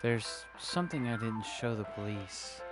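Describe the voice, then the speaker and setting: A man's voice: on the low side, lively intonation, measured pace. A man voicing a guarded, grieving widow in a noir audio drama, hesitant and subdued. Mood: guarded, sorrowful. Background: music.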